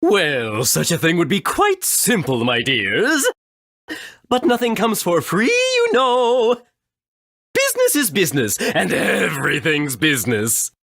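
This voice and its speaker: A man's voice: fairly high pitch, huge pitch swings, measured pace, fairly bright tone, no room sound, studio quality, full character voice. A man voicing a vain, effeminate male fish monster in a video game, theatrical and coquettish in manner. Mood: coy, scheming. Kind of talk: video game character acting.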